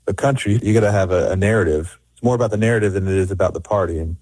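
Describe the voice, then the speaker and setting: A man's voice: low-pitched, rapid-fire, a little mellow, husky, no room sound. A middle-aged man, a record producer, talking in an interview with many restarts and fillers. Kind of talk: conversational interview.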